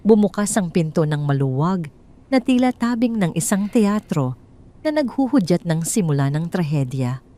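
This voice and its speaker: Female storyteller, lowish pitch, tense dramatic audiobook read. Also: big pitch swings, moderate pace, mellow. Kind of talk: scripted first-person audio drama narration.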